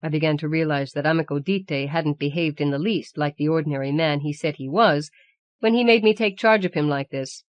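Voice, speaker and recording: A woman narrates literary fiction for an audiobook. Her voice sits a little low for a woman, and she tells the story in measured, articulate phrases. The sound is dry, with very little room around it. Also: no room sound. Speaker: audiobook reader.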